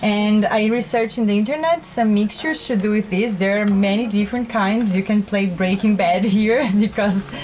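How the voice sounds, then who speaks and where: A woman's voice: medium pitch, steady tone, moderate pace, few pauses, a little mellow. A woman in her thirties talking casually to a handheld camera inside a small wooden boat cabin, with lots of "like" fillers and easy laughter. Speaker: sailing vlogger. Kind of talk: casual vlog talk to camera. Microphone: handheld camera at arm's length.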